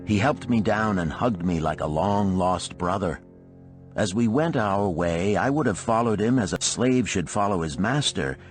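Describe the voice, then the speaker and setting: A man reading a storybook parable as an audiobook narrator, in a measured, solemn way with deliberate phrasing. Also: sits low.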